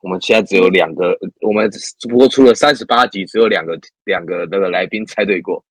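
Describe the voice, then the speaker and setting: A husky male host with a lowish voice, encouraging and playful, steering a relaxed interview. The sound is dry and close, with faint music underneath. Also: a little fast, no echo, low-quality recording. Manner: encouraging, frequent murmured agreement, playful. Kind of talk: casual interview hosting.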